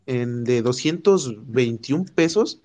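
A man's voice: mid-range voice, expressive, slow pace, darkish tone. A man with a Mexican Spanish accent talking casually in a group chat. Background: music.

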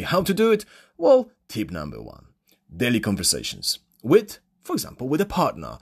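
A man from Poland with a Polish accent, hosting a solo podcast for English learners and recording on his phone. He talks casually, asks himself rhetorical questions and uses plenty of fillers. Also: mid-range voice. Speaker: podcast host and English teacher.

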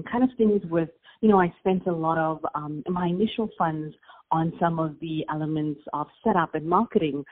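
A woman's voice: fairly low pitch, husky. A woman from South Africa with a South African accent, a business founder answering interview questions in an earnest, reflective way. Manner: earnest, reflective, with fillers and restarts. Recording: tinny phone line.

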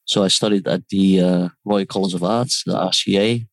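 Man, guest, low voice, gravelly, dry sound, thoughtful, restarts words. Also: fairly even intonation, moderate pace, dry recording. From born in South Korea, raised in the Netherlands. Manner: thoughtful, restarts words, quotes others in asides. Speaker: guest, startup founder and design teacher. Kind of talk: conversational interview.

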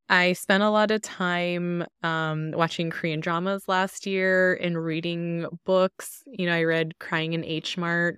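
A woman who is a guest on an interview podcast, speaking in an earnest, measured way. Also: low voice, fairly bright tone, smooth, no room sound, studio quality. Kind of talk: interview conversation.

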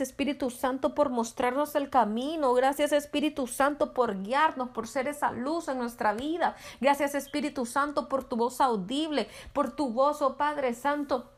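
A woman leading a fervent spoken prayer with rhythmic repeated invocations, at times dropping to a soft near-whisper. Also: high-pitched. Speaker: prayer leader.

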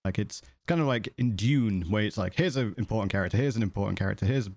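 A low-pitched man chatting casually as co-host of a gaming show, his voice strained from losing it. He rambles a little, restarting his sentences. The sound is dry and close, with no room around it. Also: fast talker, a little mellow, no room sound. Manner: rambling, many restarts and fillers. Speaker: podcast co-host. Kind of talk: casual chat.

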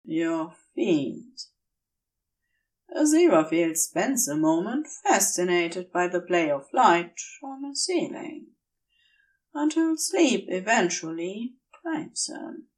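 A woman's voice: mid-range voice, sing-song, slow pace, far from the mic. A woman reading scripted fiction aloud as a narrator. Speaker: podfic narrator.

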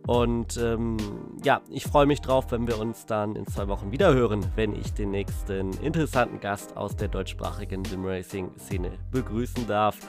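A man's voice: lowish voice, normal speed, hardly any pauses. A male podcast host with a cold wraps up an interview, eager and grateful as he speaks to his audience. Faint music plays behind his voice. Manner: eager, thankful, addresses listeners directly. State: has a cold.

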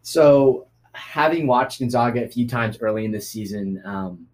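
A man's voice: mid-range voice, normal speed, a little mellow. A man on a casual sports chat, weighing his views with a lot of "I think". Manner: thoughtful, hedging with "I think". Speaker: panelist.

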